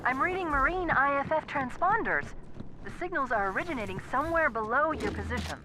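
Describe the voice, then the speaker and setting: An actress playing a confident female artificial intelligence in a video game, giving brisk, crisp tactical guidance. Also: sits high, lively intonation, music in the background. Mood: focused, alert. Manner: crisp, urgent tactical instructions.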